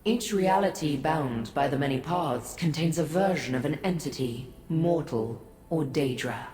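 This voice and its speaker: An actress playing an ancient, aloof godlike being in a fantasy game, speaking formally and with haughty, cryptic condescension. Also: female voice, low-pitched, mellow. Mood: cryptic, solemn. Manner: measured, formal, condescending to mortals. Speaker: video game character. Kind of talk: scripted fantasy game dialogue. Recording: echoey, long reverb.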